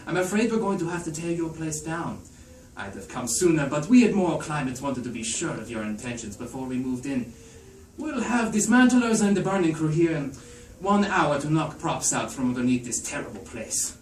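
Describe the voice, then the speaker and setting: A stern, officious investigator, as a man reads him, clipped and businesslike. The voice sits a touch high for a man. The room echoes around a mic set well back. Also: sing-song, moderate pace. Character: stern male moral-code investigator. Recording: roomy sound from a distant mic, faint hiss.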